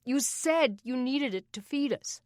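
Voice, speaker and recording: A furious young orphan boy, raised and strained well above the reader's own pitch, as a woman puts him on. He snarls and spits his words loudly, bitter and defensive. The voice is close and dry. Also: lively intonation, unhurried, no echo. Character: angry defiant orphan boy, big brother.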